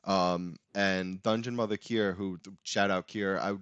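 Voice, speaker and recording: A laid-back man with a fairly low voice chats loosely about tabletop games, laughing often. The sound is dry and close, with no room around it. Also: steady tone, measured pace, a bit bright, clear, resonant tone, dry recording, muffled recording. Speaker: podcast host. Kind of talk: casual chat.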